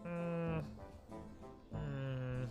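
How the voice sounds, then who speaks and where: A man's voice: a little high, fairly even intonation, drawn-out words, over music. A man streaming a game, chatting casually, muttering to himself and swearing freely.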